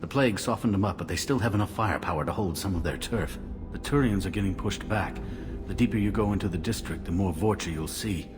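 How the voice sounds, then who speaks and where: A man's voice: low voice, quick pace, close to an everyday voice. An actor playing a wary, weary man sheltering in quarantined slums in a video game, speaking grimly and matter-of-factly. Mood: grim, informative. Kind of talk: video game dialogue acting. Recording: music playing behind the voice.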